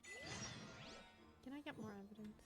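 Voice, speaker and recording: Female game streamer: casual running commentary in a medium-pitched voice, strong music underneath. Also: brisk. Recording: loud game music behind.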